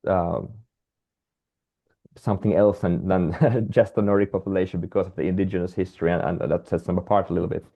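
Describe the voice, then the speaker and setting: A man's voice: deep voice, fairly even intonation, a little fast, creaky, highs cut off. A Finnish-speaking man, a guest researcher, talking hesitantly with many restarts and fillers on a video call. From Finland. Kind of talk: webinar conversation.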